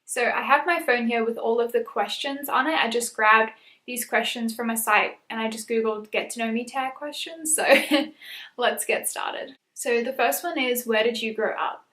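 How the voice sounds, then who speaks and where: A woman's voice: on the high side, normal speed, sharp tone. A woman in her twenties from South Africa, chatting casually on her channel, with frequent laughs and fillers. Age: 20s. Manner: chatty, laughs often, many "um"s and "like"s. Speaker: YouTuber. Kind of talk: casual get-to-know-me chat. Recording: echoey room.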